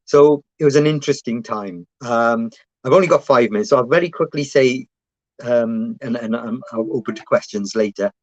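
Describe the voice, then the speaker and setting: A man's voice: medium pitch, moderate pace, no room sound, lo-fi sound. A man speaking on a panel about his police recruitment job, hesitant with many fillers and restarts. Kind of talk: panel talk.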